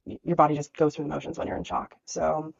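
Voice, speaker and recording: A young woman with a very low, gravelly voice, nasal and stuffy from crying and a cold. She tells her story emotionally, with fillers and restarts. The recording is dry, with a slightly muffled tone. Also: level tone, quick pace, no echo. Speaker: dog trainer and business owner. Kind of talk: emotional personal vlog storytelling.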